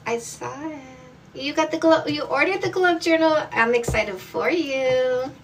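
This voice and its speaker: A woman's voice: sits high, normal speed, recorded from a distance, a little hiss or hum, rough recording. A woman dating coach from Canada hosting a live stream, reading viewer comments aloud in an upbeat, affectionate way.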